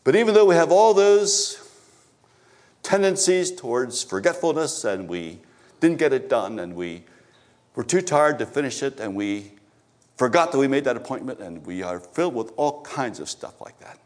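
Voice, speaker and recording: A man preaching a sermon at a church service, swinging from emphatic exhortation to low, stammered asides. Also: animated, normal speed, darkish tone. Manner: rises to emphatic exhortation, then drops low, with stammered repetitions.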